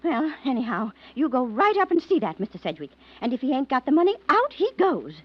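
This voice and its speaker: A woman's voice: high-pitched, sing-song, brisk. An actress playing a bossy, penny-pinching American landlady in a 1940s radio drama, folksy and nagging. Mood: indignant, bossy. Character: money-obsessed, nagging boarding-house landlady, bossy wife. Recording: noisy, muffled old radio recording.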